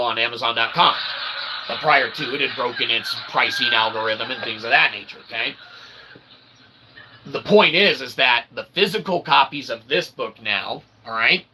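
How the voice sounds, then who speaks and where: A man's voice: medium pitch, background noise. A man giving a rambling explanatory monologue to his audience in wordy, pseudo-academic phrasing, often checking in with short tag questions. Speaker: self-published author and channel host.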